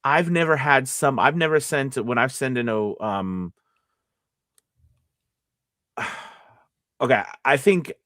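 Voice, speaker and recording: A man's voice: mid-range voice, slow, long pauses, no echo. Rambling man coaching, close dry sound. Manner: rambling, thinks out loud, checks in with "right?". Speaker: host and voice acting coach. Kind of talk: casual live teaching chat.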